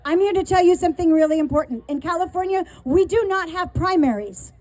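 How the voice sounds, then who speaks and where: A woman giving an urgent, quick-paced appeal at an outdoor rally, drawing the crowd to answer back. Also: very high-pitched, a little mellow, no room sound. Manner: urgent, quick, call-and-response. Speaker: rally speaker.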